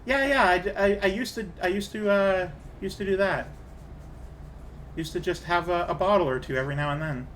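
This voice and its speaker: A man's voice: high-pitched, normal speed, fairly bright tone, slight background noise. A man streaming a game, chatting casually in a rambling way and often restarting his sentences. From Canada. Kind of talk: casual chat while gaming.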